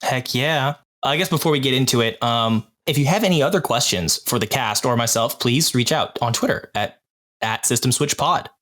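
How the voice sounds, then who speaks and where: A man's voice: medium pitch, normal speed, fairly bright tone. A man hosting a podcast in a casual, conversational way with a group of castmates. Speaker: podcast host and game master.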